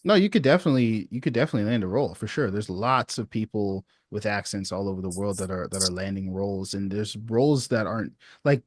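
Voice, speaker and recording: A man's voice: fairly low pitch, fast talker, no echo, clean studio sound. Laid-back male sales coach. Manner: relaxed, encouraging, says dude and man. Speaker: sales coach hosting a support call. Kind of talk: casual coaching feedback.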